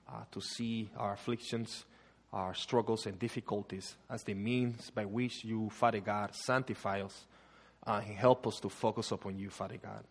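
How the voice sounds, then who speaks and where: A Panamanian-accented man in his thirties leads prayer and preaches an earnest sermon, filling his pauses with soft uhs and ums. His voice sits low. It rings out with the long reverb of a church hall. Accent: Panamanian Spanish. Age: 30s. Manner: earnest, with frequent uh and um fillers. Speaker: guest preacher. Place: church sanctuary.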